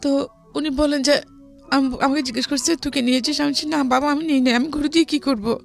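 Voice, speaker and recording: A woman's voice: sits high, fairly even intonation, a little fast, vocal fry, soft background music. A woman with a Bangladeshi accent, a guest recounting her own life story in conversation.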